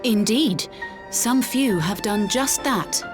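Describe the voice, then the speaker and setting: A woman's voice: fairly high pitch, lively intonation, music in the background. An actress playing a dignified, lofty woman leader in a video game, speaking formal, admonishing lines. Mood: reproachful. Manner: slow, stately, admonishing.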